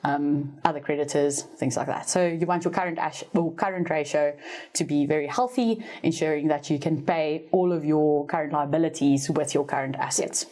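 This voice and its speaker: A woman's voice: low voice, moderate pace, warm tone. A woman with a South African accent, an Afrikaans native speaker, explaining finance topics in a podcast chat in a teacherly, upbeat way, laughing at herself and correcting herself as she goes. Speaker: finance expert guest, former university lecturer.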